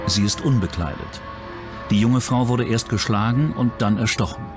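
A man's voice: lowish voice, normal speed. A man reading scripted true-crime documentary narration as the narrator. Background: background music.

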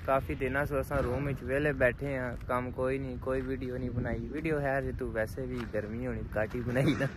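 A young man with a Pakistani Punjabi accent speaks in a relaxed, chatty vlog style, often chuckling between remarks. His voice sits at an ordinary pitch. He is recorded outdoors on a phone held at arm's length, with vehicle noise clearly audible behind him. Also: a little fast, hardly any pauses. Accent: Pakistani Punjabi (Pothwari). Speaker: truck driver vlogger. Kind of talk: casual vlog chat to camera. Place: open ground outdoors, evening.

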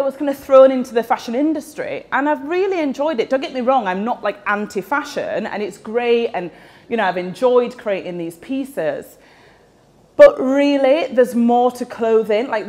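A woman's voice: on the high side, a little mellow. A young woman with a West Yorkshire accent, giving a chatty talk at a meetup into a handheld mic in a large event space. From West Yorkshire, England. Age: young adult. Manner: chatty, with many fillers. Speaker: speaker at a tech meetup. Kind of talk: casual presentation talk. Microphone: handheld microphone.

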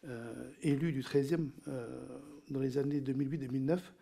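Halting, gravelly middle-aged man testifying. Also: mid-range voice, mellow. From Cambodia. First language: Teochew dialect. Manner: hesitant, many fillers and repeated words. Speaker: witness at a parliamentary hearing. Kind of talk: formal testimony. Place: large parliamentary committee room. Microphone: desk microphone.

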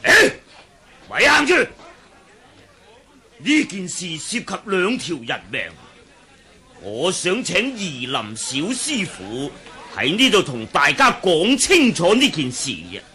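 An actor with a Hong Kong Cantonese accent playing a hot-tempered, snarling Taoist priest in a martial arts radio drama. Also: male voice, high-pitched, wildly swooping pitch, takes it slowly, long pauses, bright, forceful tone, slightly rough, heavily acted. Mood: stern. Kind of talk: 1980s radio drama acting.

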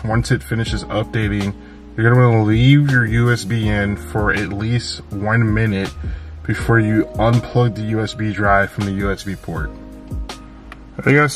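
A man hosting a car channel, talking casually step by step through a tutorial with lots of fillers, recorded inside a parked car. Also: medium pitch. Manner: casual, repeats steps, many fillers.

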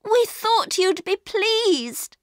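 Storybook role: kind, earnest little girl, eager and very high , acted by a woman, lively pitch. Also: takes it slowly, no room sound, clean studio sound, exaggerated voice. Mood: upset. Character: earnest, kind-hearted young girl pleading. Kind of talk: storybook character reading.

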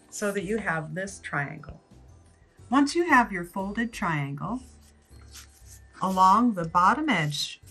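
A woman in her sixties giving plain, measured step-by-step instructions for a paper craft. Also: mid-range voice, sharp tone. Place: wood-cabinet room indoors, at a craft table.

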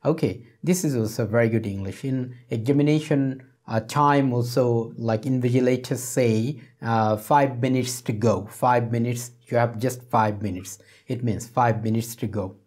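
Middle-aged male English teacher, mid-range pitch, explains and repeats phrases for learners. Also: fairly even intonation, normal speed, hardly any pauses, a little mellow. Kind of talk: explanatory spoken English lesson. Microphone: lapel microphone.

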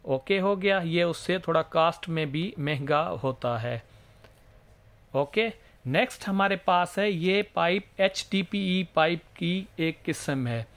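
Male trade instructor, slightly high voice, informal step-by-step demonstration delivery. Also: slow pace, fairly bright tone. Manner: repetitive, step-by-step explaining. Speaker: plumbing instructor and video presenter. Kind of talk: hands-on explainer, informal demonstration.

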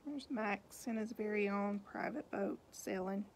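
A woman reading a picture book aloud to young children in an expressive, unhurried way, pausing to point out the pictures. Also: medium pitch, slight background noise. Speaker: storybook reader, teacher.

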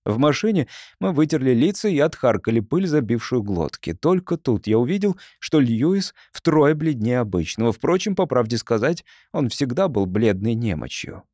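A male audiobook narrator reads fiction expressively. His voice is mid-range with lively intonation, and the recording is dry, with no room sound. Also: medium pitch, normal speed, hardly any pauses, no room sound.

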